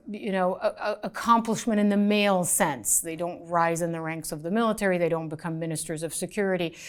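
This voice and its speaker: A woman's voice: medium pitch, smooth. A middle-aged woman journalist, a guest in a studio interview, talking thoughtfully and gesturing as she speaks into a lapel mic, with some restarts. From United States, raised in France. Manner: articulate, thoughtful, with frequent restarts and fillers; gestures with her hands while talking. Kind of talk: interview conversation. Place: small studio set, indoors.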